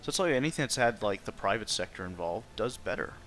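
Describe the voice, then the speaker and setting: Dude, kinda low voice but sharp n bright, opinionated, just chatting and debating. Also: male voice, lively intonation, quick pace. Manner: opinionated, conversational. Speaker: participant in a group discussion. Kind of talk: casual debate, chat.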